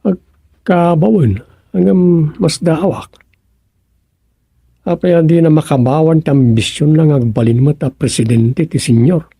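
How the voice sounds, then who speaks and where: A man with an Ilocano accent acting an exasperated, fretful village man in a radio comedy-drama, with a husky, gravelly voice. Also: fairly high pitch, unhurried, long pauses, dark tone, no room sound. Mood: troubled.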